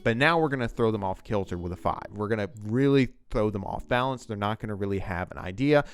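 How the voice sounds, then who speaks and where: Low-pitched man explaining informally. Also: fast, smooth. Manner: rambling, conversational, addressing the viewer. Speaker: video essayist on fiction writing.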